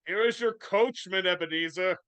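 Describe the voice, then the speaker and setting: A man's voice: high voice, normal speed, a bit bright, no echo. A man reading the part of a hearty, declamatory older-style boss in a live script reading. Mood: pompous. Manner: declamatory, grandly addressing listeners. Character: hearty male headmaster and festive employer, declaiming. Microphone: video call.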